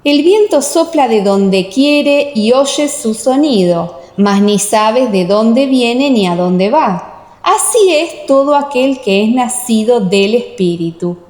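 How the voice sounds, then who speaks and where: A solemn teacher speaks with authority in a Bible audio drama , voiced by a woman. The delivery is emphatic and declarative. The pitch is on the higher side. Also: expressive, takes it slowly, a little mellow, reverberant, long reverb tail. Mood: solemn teaching.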